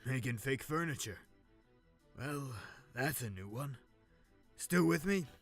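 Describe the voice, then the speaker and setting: Male narrator as cocky young-hearted agent, casual, rallying, playful, audio story, music clearly behind. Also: mid-range voice, lively intonation, slow pace. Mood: amused.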